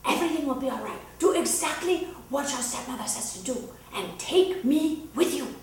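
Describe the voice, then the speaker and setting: A woman voicing a small, caring magic doll in a folktale, gently soothing and reassuring. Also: high-pitched, animated, normal speed, slight background noise, voice pushed up. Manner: gentle, hushing. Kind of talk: dramatic folktale storytelling. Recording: very echoey room, distant mic.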